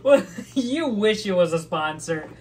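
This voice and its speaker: A loud, excitable young man co-hosting a casual channel update in a small green-screen room, laughing often. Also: high-pitched, measured pace, slight background noise. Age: young adult. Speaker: channel co-host. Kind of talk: casual chat.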